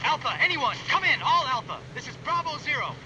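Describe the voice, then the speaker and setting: An actor playing a panicked soldier in a video game, frantically calling for help through a radio filter. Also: male voice, very high-pitched, very animated, fairly quick. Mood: panicked, desperate. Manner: frantic, desperate. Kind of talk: video game cutscene acting. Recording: very noisy, distant radio transmission.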